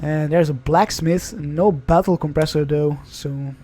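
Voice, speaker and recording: A man's voice: a little high, steady tone, a little slow, mellow. A young man whose first language is Dutch, casually commenting on an online card game in a chatty, rambling way, often correcting himself. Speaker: gaming channel host.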